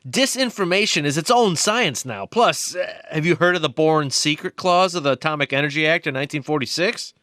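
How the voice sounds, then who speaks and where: A man's voice: a little high, expressive, a little fast, few pauses, bright, forceful tone, dry recording, studio quality. A man playing an insistent, knowing research biologist in an audio drama, explaining things emphatically. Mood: knowing, cynical.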